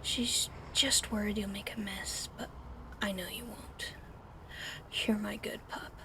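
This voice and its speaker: A woman whispering an ASMR roleplay in a soft, soothing, coddling way over an audible fan hum. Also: mid-range voice, fairly even intonation, a little slow.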